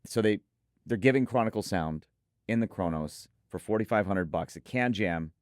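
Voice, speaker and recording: A man's voice: fairly low pitch, normal speed, no echo, studio quality. A man co-hosting a product preview, speaking emphatically and effusively and repeating points for emphasis. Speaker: audio gear reviewer and co-host. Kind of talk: enthusiastic product preview talk.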